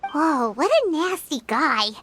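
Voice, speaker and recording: Tiny game sidekick, extremely high, squeaky, animated and blunt, faint music behind. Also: female voice, slow pace, exaggerated voice. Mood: indignant. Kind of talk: video game character acting.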